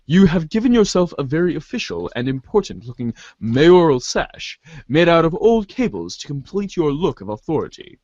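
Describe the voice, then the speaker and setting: A man's voice: on the high side, sing-song, moderate pace, a little mellow, dry recording, highs cut off. A man giving an amateur dramatic reading of narration, theatrical in delivery and now and then stumbling over the text. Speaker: narrator reading a webcomic aloud.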